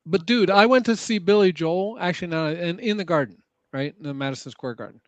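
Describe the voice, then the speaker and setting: A man in a casual chat who argues his point haltingly, often searching for words. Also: high voice, dry recording, muffled recording.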